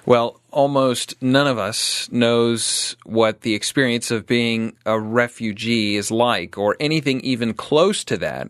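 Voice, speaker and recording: A man hosting an interview podcast, speaking in an earnest, measured way. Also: medium pitch, fairly bright tone, dry recording, clean studio sound. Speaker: host.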